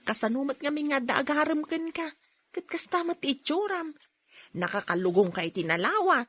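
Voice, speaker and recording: A woman's voice: sits high, expressive, a little fast, fairly bright tone, no echo. A woman with an Ilocano accent playing a frank, teasing shopkeeper in a radio drama.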